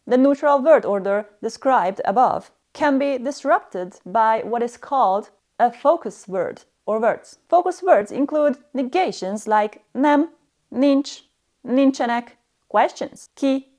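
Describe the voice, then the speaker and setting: A woman's voice: sits high, a little mellow. A woman narrating a scripted language lesson as a stern, harsh and demanding teacher.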